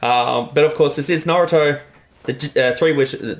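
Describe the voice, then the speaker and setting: A man's voice: fairly high pitch, moderate pace. A man giving casual, enthusiastic director's commentary on his episode.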